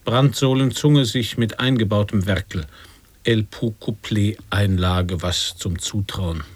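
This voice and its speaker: An actor playing a pompous declaimer of nonsense verse in an avant-garde radio play, with emphatic, chopped, incantatory recitation. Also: male voice, fairly low pitch, measured pace, slightly rough, no echo. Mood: mock solemn.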